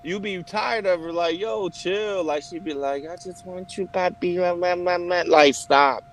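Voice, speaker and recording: A man in casual group chat, telling stories in a profane, animated way. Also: high voice, unhurried, slight background noise. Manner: profane, animated storytelling with frequent laughs. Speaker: participant in an online hangout.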